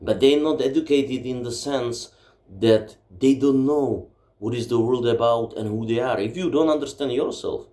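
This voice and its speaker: A man's voice: medium pitch, moderate pace, dark tone. A man with a Czech accent, a native Czech speaker, delivering a blunt, emphatic and profane ranting monologue from his home library. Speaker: book vlogger. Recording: roomy echo.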